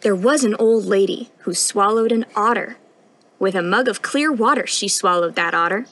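A young woman reading a picture book aloud at storytime in an expressive, sing-song rhyming delivery, recorded outdoors. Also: mid-range voice, normal speed. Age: young adult. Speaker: storytime reader, intern. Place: outdoors on a wooden porch.

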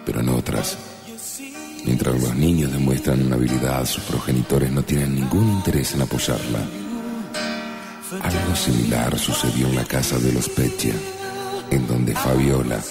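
A man narrates a radio soap from a script. His voice is exceptionally deep, set over very prominent music. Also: steady tone, moderate pace.

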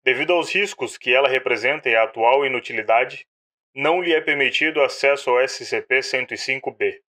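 A formal male director narrating a classified report in an audio drama, acted by a man. His voice is mid-pitched and bright, and his scripted delivery stays clinical and official. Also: fairly quick. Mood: formal, grave.

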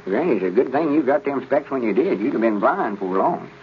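An actor playing a know-it-all, easily exasperated old storekeeper with a rural Southern accent in a 1930s radio comedy. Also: male voice, medium pitch, expressive, fairly quick, close to an everyday voice. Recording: dull, noisy old radio recording.

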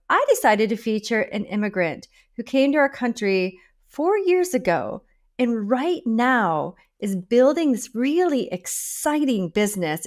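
A woman in her forties hosting a podcast interview from a home office over a video call, upbeat and enthusiastic with lively emphasis. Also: fairly high pitch. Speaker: podcast host. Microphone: video call with a desk microphone.

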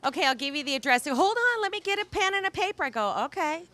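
A middle-aged woman speaks into a handheld mic on a restaurant patio at night. Her voice is high and bright, and she talks in a bubbly, cheering way. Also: animated, rapid-fire. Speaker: club member speaking at a dinner meeting. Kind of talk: casual speech to a club audience.

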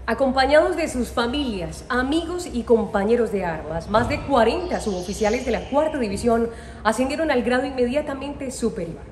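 A young woman reads a regional news bulletin in a formal, crisp announcing manner. Her voice sits a little high. The studio sound is roomy, with a long tail and audible hiss. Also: lively intonation, moderate pace. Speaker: news presenter. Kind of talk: scripted news reading. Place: studio set.

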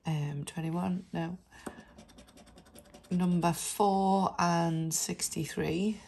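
Woman with a stuffy cold, low-ish voice, unhurried chatty reading of numbers and letters. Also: steady tone, gravelly, a little mellow, a little hiss or hum. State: congested with a cold. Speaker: scratch card vlogger. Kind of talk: casual narration over scratch card video.

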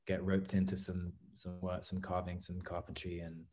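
Guest, English man, 30s, low mellow voice, relaxed and unhurried, heard over a webcam call. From England. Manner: relaxed, thoughtful, many ums and restarts. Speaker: guest artist. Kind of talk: casual interview chat. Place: home studio room, indoors. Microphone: laptop webcam video call.

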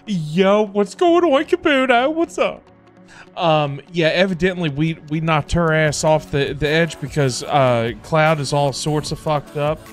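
A middle-aged man chatting casually on a livestream in a halting, repetitive, informal way. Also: fairly high pitch. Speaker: livestream host. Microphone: close desk microphone. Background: background music.

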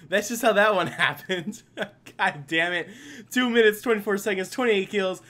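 A man hosting a gaming video with animated let's play commentary, talking to himself and to the characters on screen. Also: high-pitched, a little slow. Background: video game music.

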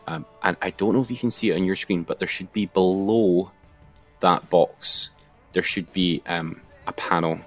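Low-voiced man giving a casual tutorial. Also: fairly even intonation, normal speed, soft background music. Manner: informal step-by-step explaining. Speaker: instructor. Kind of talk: casual screen-share tutorial.

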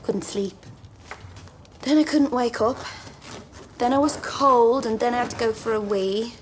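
An actress playing a headstrong, defiant young woman with a slightly gravelly voice. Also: a little high, a little hiss or hum, natural voice. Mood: chatty. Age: young adult. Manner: quick, chatty, defiant. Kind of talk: period TV drama.

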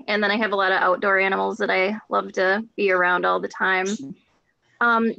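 A woman's voice: a little high, a bit bright, dry recording. A woman from the United States speaking casually as a participant in an online group class. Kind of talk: casual introduction on a group call. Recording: dull, muffled video-call audio.

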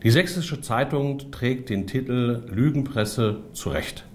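A man's voice: mid-range voice, takes it slowly, a little hiss or hum. A man delivering a prepared political statement in a formal, stiff and emphatic manner. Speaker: politician, party spokesman.

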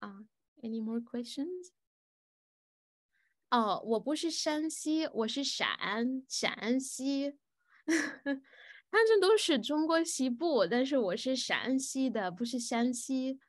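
A woman fields audience questions, talking slowly and hesitantly with many pauses and fillers. Her voice sits a little high. The recording is close and dry with no room sound. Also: lively intonation, no echo. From Egypt. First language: Arabic. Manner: switches between Chinese and English. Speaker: guest speaker answering audience questions. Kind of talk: live Q&A at a student forum.

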